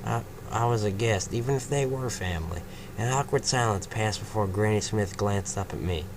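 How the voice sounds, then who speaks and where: Low-voiced man casually reading fanfiction. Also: moderate pace, noisy background. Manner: casual, breaks off to laugh and comment. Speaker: fanfiction reader. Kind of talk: first-person story reading.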